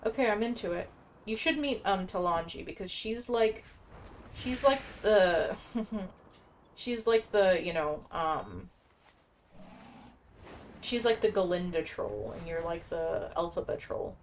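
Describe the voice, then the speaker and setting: Low-voiced woman chatting over gameplay. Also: expressive, slow pace, long pauses, slight background noise. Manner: chatty, slangy, meandering. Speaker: gameplay streamer. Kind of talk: casual game commentary. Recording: game music faintly behind.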